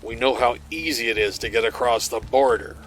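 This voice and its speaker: A man's voice: mid-range voice, brisk, a bit bright, faint music behind, natural voice, low-quality recording. A man playing an oblivious, flippant television field reporter delivering a blasé, matter-of-fact mock news report.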